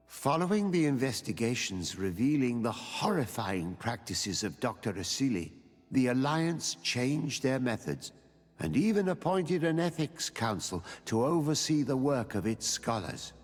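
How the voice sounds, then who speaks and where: An elderly mentor with a husky, expressive voice, narrating the close of a fantasy game. He speaks in measured, grave storytelling phrases, with long reverb around the voice. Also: medium pitch. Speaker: narrator of a game's ending. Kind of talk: scripted epilogue narration. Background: music.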